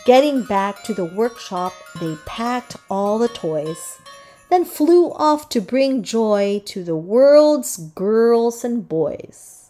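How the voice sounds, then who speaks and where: A woman's voice: fairly high pitch, big pitch swings, takes it slowly, dark tone. A woman narrating a children's read-aloud, reading rhythmic verse expressively. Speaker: storybook narrator. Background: music.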